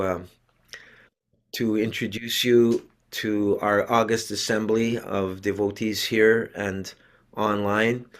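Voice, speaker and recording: An older man, a devotee preacher and host, addressing a religious gathering in a deliberate, earnest speaking style. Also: fairly low pitch, a bit bright. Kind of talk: read-out sermon, then informal introduction.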